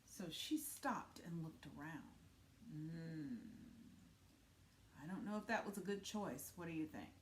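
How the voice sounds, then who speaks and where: A woman reading a storybook aloud to children expressively, pausing to ask questions and comment. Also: deep voice, animated, slight background noise. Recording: roomy, echoey sound.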